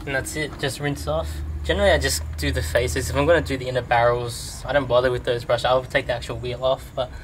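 A man's voice: mid-range voice, quick pace, few pauses, background noise. Young man casually explaining car washing. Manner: relaxed, explanatory, frequent ums. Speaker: car detailing vlogger. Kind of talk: casual how-to narration. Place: outdoors in a home driveway. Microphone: camera mic, later a GoPro.

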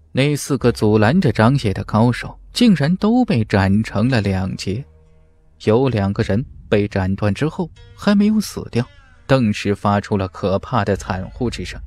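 A male audiobook narrator reads a fantasy tale with drama. His mid-pitched voice moves in lively swings, and music plays behind him.

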